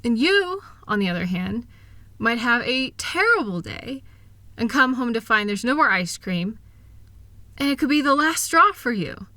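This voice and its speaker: A woman playing a kind, reassuring wife who gently and earnestly encourages her partner in an intimate one-sided roleplay audio. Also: fairly high pitch, big pitch swings, a little slow, no echo, exaggerated voice. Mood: understanding, reassuring.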